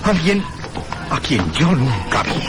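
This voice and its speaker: An actor playing a haunted man recounting his ordeal in a radio horror drama, with theatrical, deliberate phrasing. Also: mid-range voice, sing-song. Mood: haunted dread.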